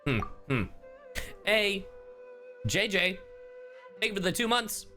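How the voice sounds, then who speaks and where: A man's voice: high-pitched, animated, takes it slowly, sharp tone, faint music behind. A man giving casual game reaction commentary as a streamer, slangy and joking.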